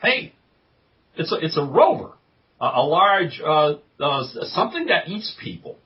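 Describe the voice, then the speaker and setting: A man playing a stammering, sardonic captive in a parody radio drama, halting between words. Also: fairly high pitch, big pitch swings, dull audio. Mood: flustered, sardonic. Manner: halting, stammering, full of uhs. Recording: faint background noise.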